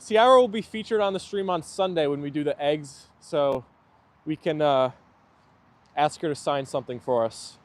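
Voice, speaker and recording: A man's voice: a little high, normal speed. A man hosting a casual live stream outdoors, chatting in a friendly way and answering viewer comments.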